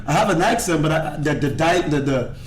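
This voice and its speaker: A man's voice: a little high, animated, a little fast, slight background noise. A man who works as an MC, chatting casually as a guest and rambling with many restarts. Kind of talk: casual chat. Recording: roomy studio echo.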